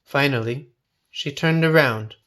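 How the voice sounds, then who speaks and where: A man reading a folksy first-person story aloud in a dramatic, expressive, suspenseful way. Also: medium pitch, unhurried. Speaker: storybook reader.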